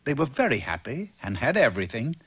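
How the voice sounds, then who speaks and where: A man's voice: mid-range voice, big pitch swings, quick pace, a loud crowd. A man narrating a comic fairy tale for a cartoon in a storybook style. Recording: dull old cartoon soundtrack, faint noise.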